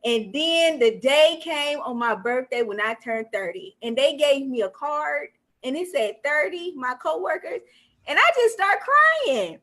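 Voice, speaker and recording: A woman's voice: sits high, expressive, unhurried, fairly bright tone. A woman in her thirties hosting a talk show, chatting in a personal way. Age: 30s. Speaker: host.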